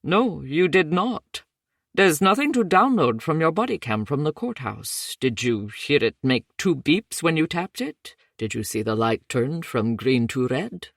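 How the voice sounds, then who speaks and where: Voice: medium pitch, sing-song, a little slow, vocal fry, a bit bright, no room sound, studio quality, heavily acted. A narrator voicing a matter-of-fact male tech worker with a thick Indian accent.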